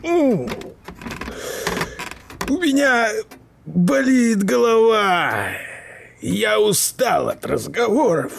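An actor playing a grumpy, stubborn old uncle in an audio drama, grumbling querulously in a gravelly old voice. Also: male voice, high voice, sing-song, very slow, pauses often, slight background noise, heavily acted. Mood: stubborn, weary.

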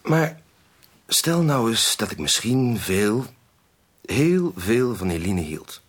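An actor in a Dutch radio drama playing a kind, patient young gentleman with a gentle, indulgent manner. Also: fairly low pitch, sing-song, slow, full character voice. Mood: earnest.

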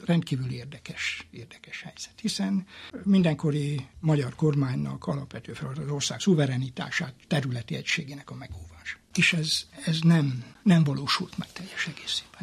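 A man speaking as an expert guest in a history interview, explaining haltingly with repeated words and pauses. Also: a little high, gravelly, a little hiss or hum.

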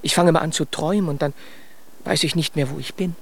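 An actor in a children's radio play voicing a proud but dreamy, scatterbrained stork with a good-natured, musing manner. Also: male voice, fairly high pitch, fairly even intonation, fast, vocal fry. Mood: musing, sheepish.

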